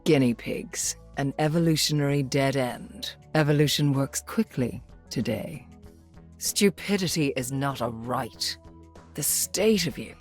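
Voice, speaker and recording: A woman's voice: rumbling low, a little slow, a little mellow, faint music behind. An actress playing a haughty, brilliant, driven female scientist in a video game, speaking in a confident, lyrical and dryly witty way. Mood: dry disdain. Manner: well-spoken, lyrical, confident, dry. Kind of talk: video game hero voice lines.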